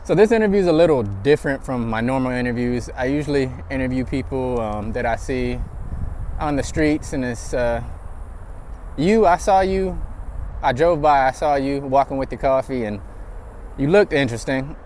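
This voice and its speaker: A man hosts a casual street interview on a trail outside, relaxed and easygoing as he asks his questions. His voice sits at a middle pitch. Faint traffic hums in the background. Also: moderate pace. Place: outdoors on a trail.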